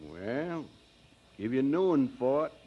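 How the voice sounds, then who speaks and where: A man's voice: mid-range voice, very animated, a little slow, slightly rough, dull audio. An actor playing an eager, folksy small-town used-car salesman who talks persuasively in an audio drama. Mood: reassuring.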